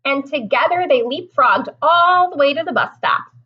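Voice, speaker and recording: A woman's voice: high voice, moderate pace, lo-fi sound. A woman reading a picture book aloud to young children as their teacher, expressively explaining and asking them questions. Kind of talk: animated storybook read-aloud with questions to listeners.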